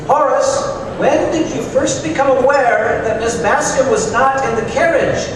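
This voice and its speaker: Shrewd, theatrical detective male actor, radio-play style, high-pitched, distant in an echoey hall. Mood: patient, probing. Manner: deliberate, theatrical, analytical. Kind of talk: stage performance of old-time radio drama. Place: live stage show in a large hall. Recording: echoey hall, far from mic, loud noise.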